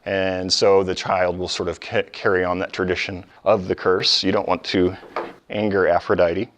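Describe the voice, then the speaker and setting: Male professor, lowish voice, conversational and explanatory, informal history lecture with colloquial asides. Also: level tone, normal speed, a bit bright, a little hiss or hum.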